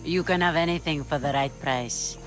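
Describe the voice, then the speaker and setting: An actress playing a shrewd, ruthless pirate queen in a video game, speaking with cool, mocking menace over loud music. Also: sits low, normal speed, natural voice. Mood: sly. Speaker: pirate leader. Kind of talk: video game cutscene acting. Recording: game audio with loud score behind.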